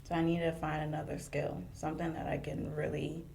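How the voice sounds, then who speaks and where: A young woman whose first language is French, a podcast guest chatting casually and thinking aloud with pauses. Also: low-pitched, completely flat delivery, creaky, darkish tone, a little hiss or hum. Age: young adult. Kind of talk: casual chat.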